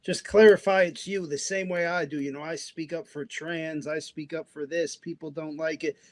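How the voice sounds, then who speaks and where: A man's voice: fairly high pitch, steady tone, normal speed. A man who grew up in the United States, talking politics on a podcast in a rambling way, full of restarts. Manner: rambling, many restarts and asides. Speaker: podcast guest. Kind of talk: casual political discussion.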